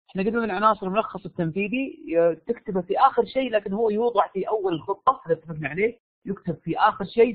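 A Saudi man teaches a live online class in a high, tired voice. He talks fast and informally, repeating himself and pressing for answers. The audio is dull and lo-fi. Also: no room sound. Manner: informal, repeats phrases, prods listeners for answers. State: tired, strained voice from losing it. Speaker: university lecturer. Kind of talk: live online lecture, questioning students.

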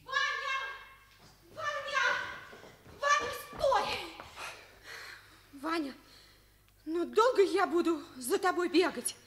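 A woman's voice: extremely high pitch, animated, drawn-out words, pauses often, fairly bright tone, exaggerated voice. An actress in a Soviet radio play playing an insistent teenage schoolgirl, calling out in a demanding way. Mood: exasperated, urgent. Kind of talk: Soviet radio drama acting.

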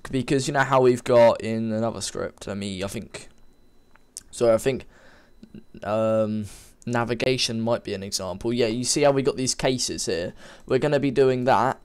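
A man's voice: mid-range voice, moderate pace, clean tone. A man with a cold and a slightly sniffly voice, talking casually through a coding tutorial at his computer and often correcting himself. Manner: informal, rambling, self-correcting while typing. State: has a cold, a bit sniffly. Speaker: game development tutor. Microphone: desk microphone.

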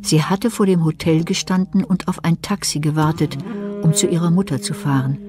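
A woman narrating a dark crime radio play in a composed, scripted style. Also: sits low, moderate pace, over music, natural voice.

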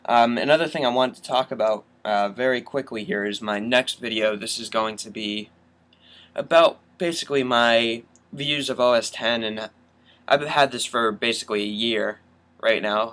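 A man's voice: mid-range voice, moderate pace, fairly bright tone. Young male tech vlogger, mid-range voice, informal walk-through delivery at his desk. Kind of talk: casual screen-recorded tutorial.

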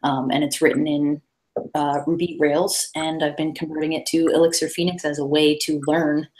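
A woman's voice: deep voice, little pitch movement, moderate pace, a little mellow, poor audio. A woman presenting a casual coding talk at a meetup over a video call, talking loosely with many fillers and restarts. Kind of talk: casual tech talk with slides.

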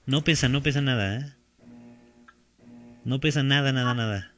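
A man's voice: medium pitch, normal speed, a bit bright, soft background music. A man in his thirties streaming a game from a small home room on a headset, chatting casually, repeating himself and laughing often. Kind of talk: casual gaming stream chat.

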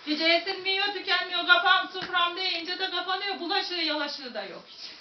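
High-voiced Central Anatolian woman storyteller. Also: moderate pace. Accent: Central Anatolian Turkish. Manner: rhythmic, rhymed folk-tale patter that speaks directly to listeners. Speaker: folk storyteller. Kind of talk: traditional tale telling to a live audience. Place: museum site with onlookers and photographers. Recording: distant, echoey, dull recording with faint noise.

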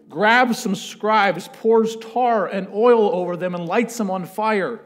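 A man in his thirties delivers a sermon from a church stage, his fairly high voice rising and falling as he tells the story. Faint music sits under his voice. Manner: animated storytelling, building to loud chanted quotes. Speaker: pastor. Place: church stage with a lectern, large indoor room.

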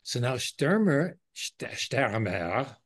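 A man's voice: medium pitch, sing-song, takes it slowly. Older man in casual political discussion. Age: 60s or older. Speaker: discussion host. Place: indoor video call. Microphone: video call microphone.

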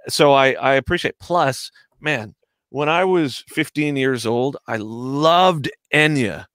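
A man's voice: medium pitch, slow, fairly bright tone, no room sound. A man in his forties chatting casually on a video call from his home studio, rambling and joking, now and then swearing. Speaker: guest musician. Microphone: headset microphone on video call.

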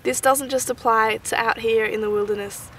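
A woman's voice: on the high side, fairly even intonation, moderate pace, slight background noise, poor audio. A young Australian woman giving casual, enthusiastic wildlife commentary in a gushing, chatty way with informal slang. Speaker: wildlife vlogger.

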